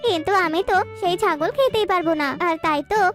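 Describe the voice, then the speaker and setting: A woman's voice: very high-pitched, quick pace, soft background music. A woman voicing a cunning, greedy pet rat who talks persuasively in a children's cartoon. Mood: sly, persuasive. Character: sly, greedy pet rat tricking a dog.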